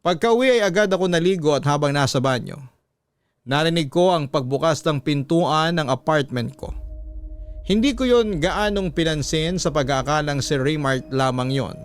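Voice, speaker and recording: A man with a Filipino accent reading a listener's story as dramatic, measured radio narration. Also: on the high side, slight background noise.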